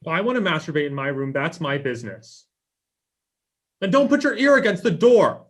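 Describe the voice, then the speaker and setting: An earnest young man in his twenties, acted by a man, with a fairly high voice. He is anxious, eager to please and tends to ramble in this table-read comedy. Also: sing-song, normal speed. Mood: exasperated. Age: young adult. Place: room at home, indoors. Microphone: video call.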